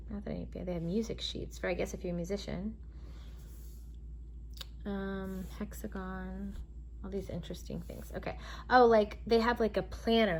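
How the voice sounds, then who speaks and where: Woman vlogger with a gravelly, raspy voice. Chatty demo host who thinks aloud, with a faint hiss behind her. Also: medium pitch, a little mellow. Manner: chatty, thinks aloud, murmurs while trying features. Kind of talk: casual product demo.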